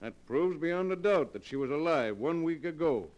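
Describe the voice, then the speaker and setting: An actor playing a calm, exacting county coroner in a vintage radio drama, speaking deliberately. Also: male voice, a little high, lightly acted. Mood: measured. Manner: deliberate, measured. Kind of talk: 1940s radio acting.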